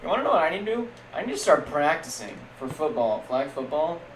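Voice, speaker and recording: Young guy streamer doing football play-by-play, kinda high voice, echoey room w faint hiss. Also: very animated, fast. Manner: casual, jokey play-by-play that spikes loud on big plays. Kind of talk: live-stream game commentary.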